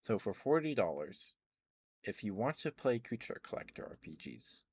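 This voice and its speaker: Very low-voiced man rambling softly. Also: sing-song, moderate pace, breathy edge. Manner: rambling, lots of ums and uhs. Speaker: ASMR streamer. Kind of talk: soft-spoken ASMR game rambling.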